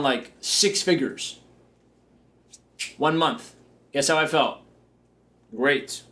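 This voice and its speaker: A man's voice: mid-range voice, bright, forceful tone. A man hosting a coaching show, talking in a confident, upbeat and joking way. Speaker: business coach and show host. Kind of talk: motivational coaching talk.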